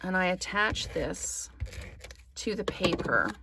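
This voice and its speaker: Gravelly-voiced woman thinking aloud while crafting. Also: on the low side, very animated, takes it slowly, heavy background noise. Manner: apologetic, chatty, thinks aloud with many ums. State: slightly hoarse, losing her voice. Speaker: crafting channel host.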